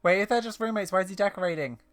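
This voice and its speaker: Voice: medium pitch, rapid-fire, fairly bright tone. A person reacting casually and offhand to a video game as it plays.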